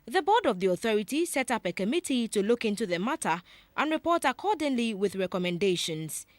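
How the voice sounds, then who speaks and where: A Ghanaian woman narrates a television news report. Her pitch moves around a great deal as she reads, giving the scripted lines a very animated shape. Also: a little fast, dry recording.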